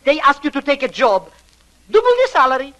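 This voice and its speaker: An actor playing an excitable, affectionate comic schemer in a 1940s radio comedy. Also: male voice, extremely high pitch, fairly quick, heavily acted. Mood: excited, sly. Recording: vintage 1940s radio broadcast.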